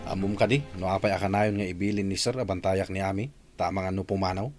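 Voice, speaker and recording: A man's voice: low-pitched, steady tone, fast, music in the background, natural voice. A man playing a dutiful, questioning security guard in a conversational audio drama, with faint background noise. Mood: curious.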